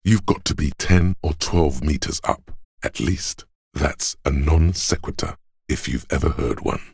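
An actor playing a calm, analytical inner voice of logic in a video game, gravelly and husky, speaking in a dry, measured, knowing way. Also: male voice, rumbling low, dry recording, exaggerated voice. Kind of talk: video game voice-over narration.

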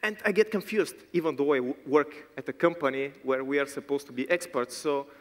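A man's voice: fairly high pitch, brisk. A man with a Bulgarian accent giving a joking, self-deprecating conference talk on stage with a handheld mic. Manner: wry, self-deprecating, teasing. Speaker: conference speaker. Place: large conference hall stage. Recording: long hall reverb.

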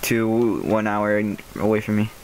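A man's voice: lowish voice, unhurried, darkish tone. A fifteen-year-old boy from California chatting and joking over voice chat while recording a block-building game, often laughing and teasing his friend. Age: 15, teenager. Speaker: channel owner recording the gameplay. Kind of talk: casual gaming chat over voice call.

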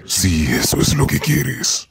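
Cartoon villain, cocky crystal-armored menace, Latin American accent, gravelly, slow theatrical swoops. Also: male voice, mid-range voice, very animated, soft background music, heavily acted. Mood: cool. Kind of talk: amateur Latin American Spanish cartoon dub.

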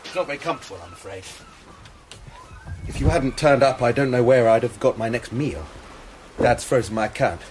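A man's voice: mid-range voice, expressive, a little fast, a little mellow, a little hiss or hum, lightly acted. An actor playing an anxious young male student, telling his story in a rambling, worried way in a radio drama. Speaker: student suspect. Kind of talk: BBC radio drama acting.

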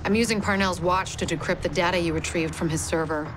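A woman's voice: on the low side, fairly even intonation, a little fast, over music, lightly acted. A woman playing a focused, practical intelligence agent giving updates in a video game. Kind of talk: scripted mission briefing.

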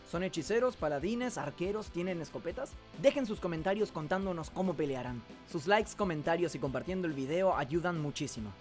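A young man hosting an animated comedy video, giving mock-grand royal proclamations with deadpan asides, recorded on a studio microphone. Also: high voice. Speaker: animation channel host and voice actor. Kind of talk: scripted comic narration for an animated video.

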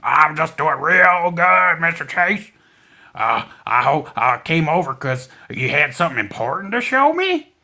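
A man's voice: on the high side, sing-song, unhurried, pushed and bright, voice pushed up, exaggerated voice. A man voicing a friendly, folksy crocodile dad in a children's puppet show, talking in a simple, hesitant, amiable way. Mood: friendly, curious.

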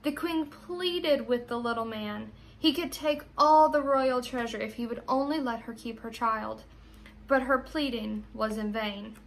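A young American woman with a high voice speaks as a teacher to children. Her delivery is expressive, in the manner of someone reading a storybook aloud. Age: young adult.

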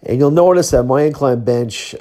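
A man's voice: mid-range voice, normal speed, mellow. A man of about fifty giving a casual, matter-of-fact voice-over as an athlete on a training vlog. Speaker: athlete vlogger, Highland games thrower.

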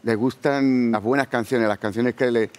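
A middle-aged man coaching on a TV talent show, giving halting, heartfelt spoken praise in a large studio. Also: medium pitch, fairly even intonation, a little mellow, clear, resonant tone. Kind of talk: casual spoken feedback.

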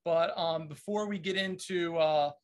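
A man's voice: a little high, a little slow. A middle-aged man hosting a podcast interview over a video call, eager and a bit stumbling, often repeating the first words of his sentences. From Washington, D.C., USA. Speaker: podcast host. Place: indoor room at home. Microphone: video call webcam.

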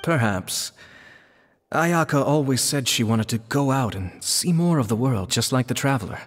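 An actor voicing a courteous, composed young nobleman in a video game, speaking in a formal, measured way. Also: medium pitch, animated, in a large hall, lightly acted. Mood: polite, calm.

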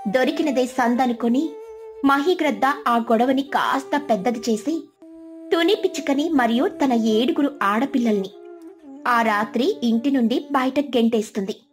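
A woman narrator with a high-pitched voice tells a scripted children's story. Music plays behind her narration.

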